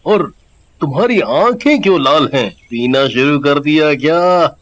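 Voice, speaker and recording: Man acting a cocky village thug, lively swooping delivery. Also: high voice, background noise, exaggerated voice. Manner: cocky, mocking, laughing. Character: swaggering, leering young male village thug, cowardly when threatened.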